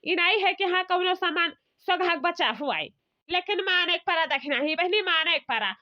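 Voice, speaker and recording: Woman playing a shrill-high, scolding village matriarch. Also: moderate pace, muffled recording. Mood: indignant. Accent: Awadhi. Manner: indignant, long-winded scolding. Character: scolding, aggrieved village matriarch, bossy and indignant. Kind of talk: village comedy cartoon dialogue.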